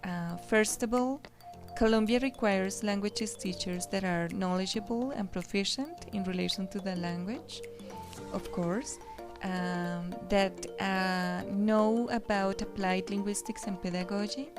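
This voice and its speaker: Slow, measured woman expert. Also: medium pitch, steady tone, over music. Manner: measured, formal, careful phrasing. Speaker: English teacher, interviewed expert. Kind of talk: answering an interview question.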